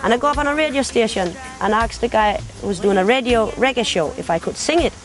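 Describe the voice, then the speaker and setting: A woman's voice: a little high, fast. Young woman with patois turns. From Denmark. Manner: talkative storytelling with patois phrasing. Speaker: competition finalist. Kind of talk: casual interview.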